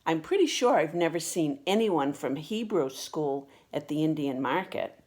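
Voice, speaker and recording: A woman reading a children's picture book aloud with expressive, deliberate storytelling delivery. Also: low-pitched. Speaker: storybook reader.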